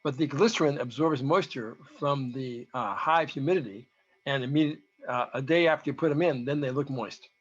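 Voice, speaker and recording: A man with a hoarse voice gives an informal, halting technical presentation, explaining data as a researcher. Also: medium pitch. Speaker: presenter, researcher giving a talk to beekeepers. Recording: dull, lo-fi audio.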